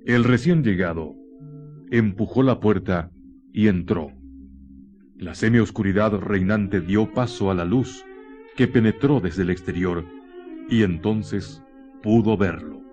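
Grave man narrating radio horror, lowish voice. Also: a little mellow, highs cut off, soft background music. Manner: grave, dramatic, deliberate pauses. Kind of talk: scripted radio drama narration, horror tale.

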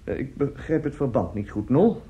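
An actor in a radio drama playing a middle-aged, self-justifying seducer and family friend, speaking haltingly and emphatically. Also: male voice, medium pitch, expressive, warm tone, close to an everyday voice. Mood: indignant. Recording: dull, muffled radio play recording.

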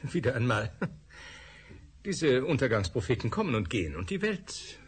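A confident research doctor in a radio drama. His voice is very husky and his pitch moves around a lot. He sounds light and self-assured, with a dismissive edge. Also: sing-song, moderate pace. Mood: breezy. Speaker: scientist.

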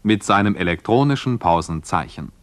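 A man's voice: fairly low pitch, animated, moderate pace, no echo. A man working as a radio announcer, making a formal, courteous mid-century style announcement.

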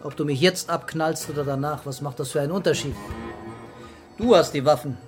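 A man playing a laconic, calm police commissioner with a dry, provocative manner in a crime radio drama. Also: a little high, brisk, soft background music, natural voice. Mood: coolly provocative.

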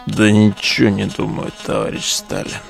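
An actor in a modern audio drama playing a foul-mouthed, mocking relic digger who talks in coarse slang. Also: male voice, lowish voice, very animated, normal speed, creaky, faint music behind, heavily acted. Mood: mock-military deference. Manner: slangy, coarse, jeering banter.

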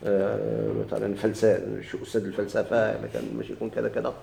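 A man with a Moroccan Arabic accent teaches grammar in a dark, gravelly voice. He moves briskly, repeats key words and quizzes his students. The recording is echoey. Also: lowish voice, little pitch movement, a little hiss or hum. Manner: explains slowly, repeats and stretches key words, asks students questions.

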